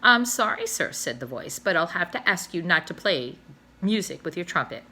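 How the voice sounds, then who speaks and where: A woman teacher reading a children's novel aloud, expressive, with little asides and self-corrections. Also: fairly low pitch, moderate pace.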